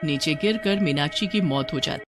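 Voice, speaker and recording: A woman narrating a scripted story in an expressive storytelling manner. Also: deep voice, moderate pace, over music.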